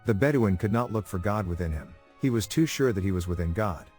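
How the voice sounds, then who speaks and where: Low male voice, solemn quotation reader, quiet music underneath. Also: expressive, a little fast. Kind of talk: reading literary quotations. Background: faint music.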